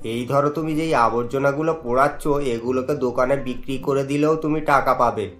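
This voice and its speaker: Man playing a stern, kindly cartoon gentleman. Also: mid-range voice, fairly even intonation, fairly quick, soft background music, close to an everyday voice. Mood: advising. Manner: questioning, lecturing. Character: well-off gentleman, stern but kindly adviser to children. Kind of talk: Bengali cartoon dialogue.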